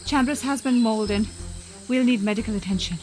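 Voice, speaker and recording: High-voiced, composed female hunter played by an actress. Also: a little fast, a little mellow, faint music behind, lightly acted. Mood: composed, reporting. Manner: precise, formal, level-headed. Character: composed, analytical female member of hunting party. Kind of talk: audio drama acting.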